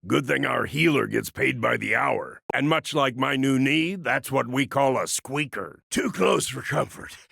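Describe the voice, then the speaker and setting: A man voicing a gruff, cocky, aging cyborg fighter in a video game, a folksy wisecracking old-timer with a gravelly rasp and a drawling delivery. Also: medium pitch, expressive, a little slow, hardly any pauses, fairly bright tone, dry recording, studio quality, full character voice. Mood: wry, folksy. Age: older. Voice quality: gravelly, raspy. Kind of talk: video game character voice lines.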